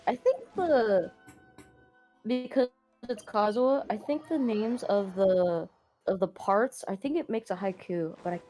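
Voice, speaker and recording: Female gamer, informal and conversational, mellow timbre, ordinary pitch, light music underneath. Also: measured pace. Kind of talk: casual reactions while gaming.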